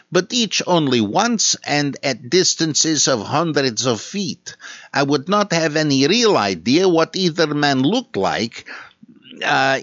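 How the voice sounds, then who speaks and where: A man's voice: fairly high pitch, fairly bright tone, dry recording, dull audio, voice pushed up, exaggerated voice. A man putting on a heavy Russian accent to read a listener's letter as a comrade, dropping little words. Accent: Russian (put on). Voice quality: heavy mock Russian accent, clipped grammar. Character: gruff male Russian comrade letter-writer.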